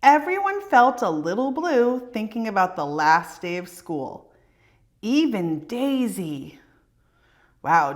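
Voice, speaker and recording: A woman reading a children's picture book aloud in an expressive, emphatic way meant for young children. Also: on the high side. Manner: animated, emphatic storytelling aimed at young children. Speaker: read-aloud presenter for elementary students.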